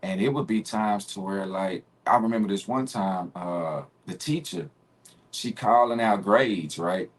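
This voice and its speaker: A man in his forties from Arkansas with a Southern accent, a guest telling stories in a relaxed, easygoing way that breaks into laughter. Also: on the low side, a little slow. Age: 40s. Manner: relaxed storytelling, laughs easily. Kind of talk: casual interview conversation.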